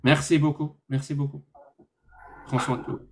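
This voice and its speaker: A man's voice: on the high side, little pitch movement, normal speed, darkish tone, slight background noise. A man from Algeria hosting a live-stream debate, firm and corrective, with a dry sarcastic edge.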